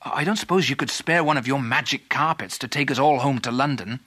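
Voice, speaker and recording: A man's voice: medium pitch, fairly quick, lightly acted. A man voicing an earnest, hopeful young lad in a children's story, polite and eager.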